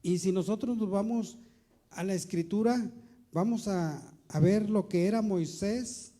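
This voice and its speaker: High-voiced, dark-toned male pastor preaching. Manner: preaching with slow, emphatic stretches and rising emphasis; repeats words while searching. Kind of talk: sermon.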